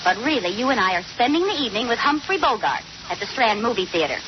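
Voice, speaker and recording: A woman's voice: on the high side, big pitch swings, fast, over music. A woman playing a playful, scheming young wife in an old radio comedy, with a theatrical, coquettish delivery. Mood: brisk, sly. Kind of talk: 1940s radio comedy acting. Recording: dull, noisy old radio recording.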